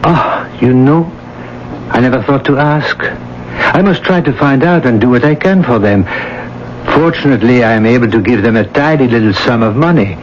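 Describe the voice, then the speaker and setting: An actor playing a condescending, persuasive, callous schemer in a radio drama. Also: male voice, medium pitch, normal speed, lightly acted. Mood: casually callous. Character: smooth, scheming, callous male lover and shopkeeper. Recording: dull, noisy old radio broadcast.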